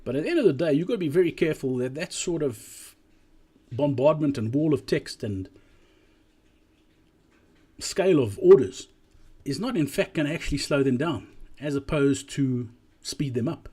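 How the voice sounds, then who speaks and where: A man giving an explanatory business presentation as a voice-over, speaking deliberately and emphatically and repeating key phrases, with a slightly husky voice. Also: on the high side, lively intonation, dark tone. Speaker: presenter analysing drive-through performance.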